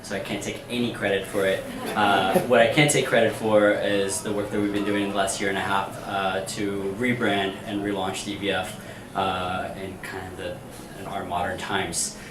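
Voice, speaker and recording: A man's voice: deep voice, flat delivery, moderate pace. A man from Brazil with a Brazilian Portuguese accent, speaking casually with fillers as a panelist. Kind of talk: panel discussion. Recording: echoey room, distant mic, faint noise.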